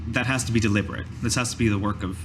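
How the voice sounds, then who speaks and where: A man voicing a grave, formal master mage in a tabletop fantasy game, speaking deliberately. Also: fairly low pitch, steady tone, noisy background, close to an everyday voice. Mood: thoughtful. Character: male village master mage, formal, measured, grave mentor.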